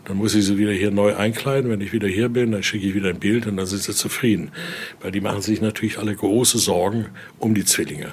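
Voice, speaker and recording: A man giving an informal, halting explanation as a guide, often ending phrases with little questioning tags. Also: lowish voice, slight background noise. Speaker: interviewee, exhibit guide and Voodoo expert. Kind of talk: informal spoken explanation during a guided tour.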